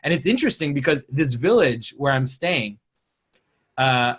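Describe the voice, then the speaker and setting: A man's voice: mid-range voice, animated, moderate pace, a bit bright, slightly rough. A man from Quebec with a French Canadian accent, French his first language, talking things through in a thoughtful, hesitant way with many restarts. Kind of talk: casual conversation.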